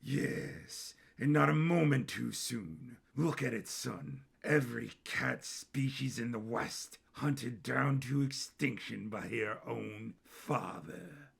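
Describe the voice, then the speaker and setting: A man voicing an older king and father in an audio story, cold and menacing, speaking in a measured, regal way. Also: medium pitch, vocal fry, slightly rough, pitched below the performer's own voice, exaggerated voice. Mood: gloating.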